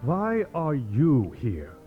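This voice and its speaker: An actor playing an imperious, impatient exhibit director who speaks in a curt, demanding, threatening way. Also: male voice, medium pitch, huge pitch swings, extremely slow, phone-quality sound, soft background music, exaggerated voice. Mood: menacing, impatient.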